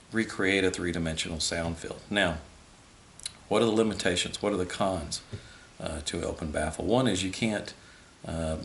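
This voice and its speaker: A man in his fifties giving an informal, matter-of-fact technical explanation in a small room, speaking off the cuff to a camera a few feet away. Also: low voice. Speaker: host explaining audio equipment. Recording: steady background hiss.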